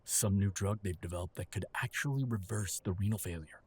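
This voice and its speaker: A man acting a supportive older husband and father in an audio drama, steady, reassuring and given to gentle teasing. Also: sits low, brisk, slightly rough, no echo. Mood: sober.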